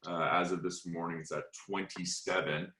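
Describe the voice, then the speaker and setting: A man's voice: low voice, steady tone, normal speed, bright, forceful tone. A man moderating questions as a portfolio manager on a financial conference call. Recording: roomy, echoing conference call.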